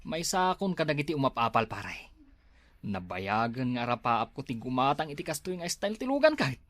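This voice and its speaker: A man's voice: mid-range voice, big pitch swings, brisk, fairly bright tone, no room sound. A man with an Ilocano accent playing an admiring, envious neighbour in a village comedy drama. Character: admiring, envious neighbour friend eyeing a flashy car.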